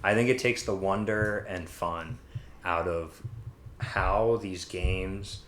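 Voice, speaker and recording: Low-voiced man in an echoey room. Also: slow pace, a bit bright, a little hiss or hum. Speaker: podcast co-host. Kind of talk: casual chat, discussion. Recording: roomy, echoey room sound.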